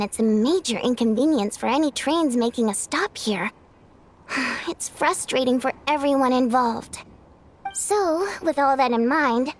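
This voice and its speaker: A woman playing a capable, matter-of-fact young station manager in a video game, composed and assured. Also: high voice. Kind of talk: animated video game voice acting. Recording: faint music behind the voice.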